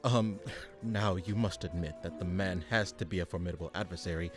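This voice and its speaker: Man playing low-voiced pompous supervillain boss. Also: fairly even intonation, brisk, faint music behind, lightly acted. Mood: haughty, sarcastic. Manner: haughty, sarcastic, impatient. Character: pompous, exasperated male supervillain chairing a meeting. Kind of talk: comedic radio play acting.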